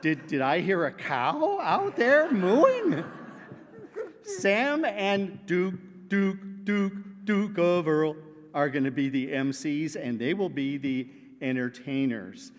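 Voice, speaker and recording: A man's voice: on the high side, takes it slowly, crowd noise. A man doing a playful, pun-filled announcement skit in front of a congregation. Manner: punning, playful deadpan. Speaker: congregation member presenting an announcement. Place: large church sanctuary. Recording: long hall reverb.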